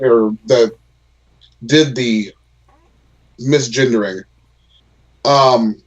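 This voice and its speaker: Male host, mid pitch, earnest, talky; dull lo-fi recording. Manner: earnest, explaining at length with restarts. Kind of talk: casual chat. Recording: lo-fi dull sound.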